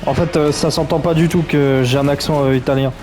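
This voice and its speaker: A man's voice: a little high, steady tone, brisk, over music. A man commenting on a game as he plays, in a chatty, joking, reactive way. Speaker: gaming streamer. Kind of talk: let's play commentary.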